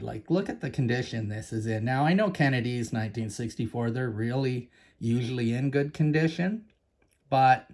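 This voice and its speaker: A man's voice: medium pitch, a little mellow. A man with a Canadian accent hosting a casual coin-collecting vlog, chatty and enthusiastic, talking straight to his viewers. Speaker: coin collecting channel host. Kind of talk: casual show-and-tell vlog.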